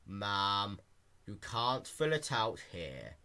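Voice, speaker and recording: Deadpan bureaucrat pony, male reader, low, slow and utterly monotone. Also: clear, resonant tone, heavily acted. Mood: flat. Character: monotone, emotionless, rule-bound male pony clerk.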